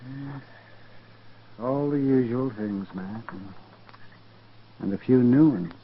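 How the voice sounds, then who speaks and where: An actor playing a grim, weary frontier doctor, halting and matter-of-fact, in a 1950s radio western. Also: male voice, medium pitch, a little hiss or hum. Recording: muffled vintage radio recording.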